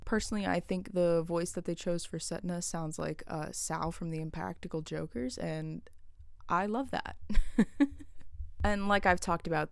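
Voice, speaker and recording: A woman's voice: fairly low pitch, vocal fry, no echo. A young woman vlogging casually to camera, chatty, with dry humor and frequent laughs.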